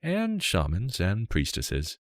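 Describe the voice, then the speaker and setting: A man's voice: fairly low pitch, huge pitch swings, takes it slowly, no echo, voice pushed up, heavily acted. A man playing a modest young warrior in a fantasy storybook, courteous and a little hesitant. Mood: polite, modest. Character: polite, modest young male warrior guest.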